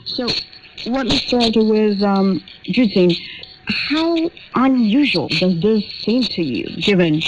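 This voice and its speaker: A woman's voice: mid-range voice, expressive, slow pace. A woman hosting a panel discussion on radio, heard over shortwave. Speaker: radio talk show host. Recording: noisy shortwave radio, static and clicks. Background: heavy noise, clicking.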